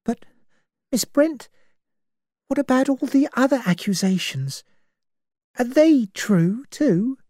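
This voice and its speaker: A woman voicing a nervous, high young woman. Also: sing-song, unhurried, dark tone, no echo, studio quality. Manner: nervous, uneasy.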